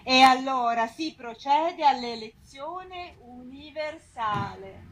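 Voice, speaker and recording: An actress playing a bewildered, protesting Roman commoner, exclamatory and questioning, in stage dialect. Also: female voice, sits high, animated, takes it slowly, full character voice. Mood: skeptical. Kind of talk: theatrical dialect dialogue.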